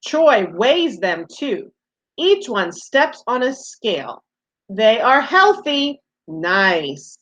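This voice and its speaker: A woman's voice: fairly high pitch, big pitch swings, extremely slow, fairly bright tone. A woman teacher giving a read-aloud lesson to young children, speaking simply and encouragingly, with questions to the listeners.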